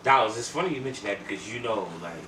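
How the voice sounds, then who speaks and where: A man's voice: lowish voice, expressive, very fast. A man speaks at a distance from the mic in a roomy, noisy space. He co-hosts a podcast with casual chat and storytelling, emphatic and slangy, often repeating himself. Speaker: podcast co-host. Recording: echoey room, distant mic, clear noise.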